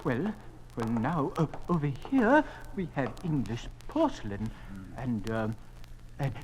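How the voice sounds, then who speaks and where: A man's voice: medium pitch, sing-song, slow, a little mellow, a little hiss or hum, low-quality recording. An actor playing a timid, genteel, flustered male museum curator in a radio drama, hesitant and stammering. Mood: eager, flustered. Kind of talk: 1950s radio drama acting.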